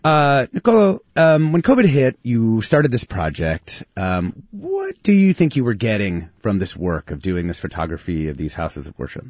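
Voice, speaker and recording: A man's voice: lowish voice, big pitch swings, moderate pace, few pauses, a little mellow, no echo, muffled recording. A man with an American accent hosting a radio talk show, moving between scripted introductions and interview questions.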